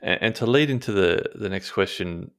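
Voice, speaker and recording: Deep, gravelly male interviewer. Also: lively intonation, normal speed. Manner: hesitant, stumbling over words. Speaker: student interviewer. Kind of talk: casual interview questions.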